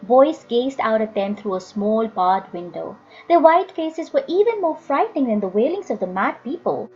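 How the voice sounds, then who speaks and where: A woman reading a story aloud as an expressive audiobook narrator. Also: a little high, normal speed, lo-fi sound.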